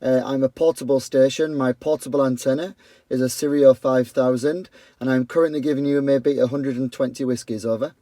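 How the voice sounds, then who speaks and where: A man's voice: medium pitch, steady tone, a little fast, warm tone. A man from York, running a portable CB station outdoors by his house. He is friendly and keeps calling the other operator "my friend.". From York, England. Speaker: CB radio operator. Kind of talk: radio contact exchange.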